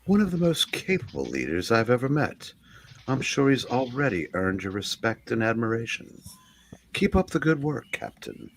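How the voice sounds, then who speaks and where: A man's voice: medium pitch, huge pitch swings, normal speed, slight background noise. A man playing a formal executive giving a pompous welcome speech in an amateur comic-book reading. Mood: grandly encouraging. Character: smooth, formal executive sending a welcome message.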